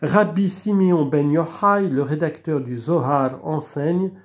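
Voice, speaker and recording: A man slowly reading aloud from an old theology book, measured and deliberate, with small restarts. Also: on the high side. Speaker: reader of a religious book. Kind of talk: slow read-aloud of a theology text.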